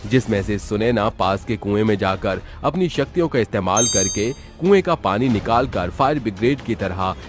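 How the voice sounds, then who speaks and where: A man narrating a scripted horror story. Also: lowish voice.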